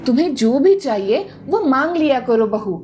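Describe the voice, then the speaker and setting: Domineering middle-aged mother-in-law for cartoon fable, played by a woman: high, very animated, taunting, faint music underneath. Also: normal speed. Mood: coaxing. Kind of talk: animated moral-story cartoon dialogue.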